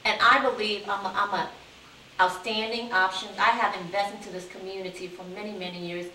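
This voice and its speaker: A woman in her forties, born in Vietnam, a city council candidate telling her life story in an earnest, steady way. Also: medium pitch, brisk. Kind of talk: interview answers, life story. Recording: echoey room, distant mic, faint hiss.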